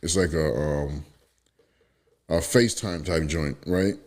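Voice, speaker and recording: A man's voice: extremely low pitch, slow pace. A man from the Bronx, hosting a casual podcast chat and telling stories with lots of animation. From the Bronx, New York. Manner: animated storytelling, acts out quoted voices. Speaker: podcast host.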